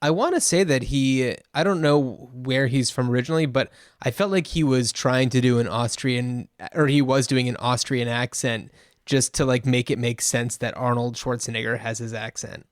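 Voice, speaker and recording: Male podcast co-host, mid-range voice, relaxed and jokey, dry booth sound. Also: mid-range voice, normal speed, dry recording. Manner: easygoing, laughs often. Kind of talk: casual chat.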